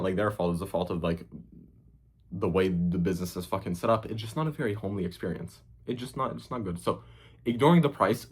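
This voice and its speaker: Young male cooking host, Hungarian accent gone a bit American, low husky voice, profane off-the-cuff vlog rant. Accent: Hungarian, partly Americanized. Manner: profane, rambling, with frequent fillers. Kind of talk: casual rant to camera.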